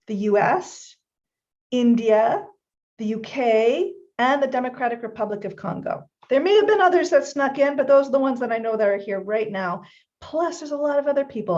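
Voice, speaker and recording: A woman's voice: on the high side, a little mellow, lo-fi sound. Upbeat woman hosting a live stream. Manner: upbeat, addresses audience directly. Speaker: host.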